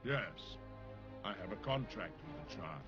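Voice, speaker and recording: An actor playing a proud, weary armored bear in a fantasy video game, speaking gravely and with weight. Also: male voice, low-pitched, lively intonation. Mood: stoic. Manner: solemn, weighty, measured delivery. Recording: muffled game audio under loud music.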